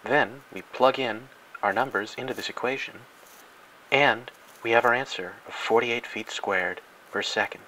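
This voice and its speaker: A man narrating a parody educational film in a mock-serious, grandiose and deadpan way. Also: medium pitch, normal speed, a little hiss or hum, low-quality recording. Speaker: narrator and host.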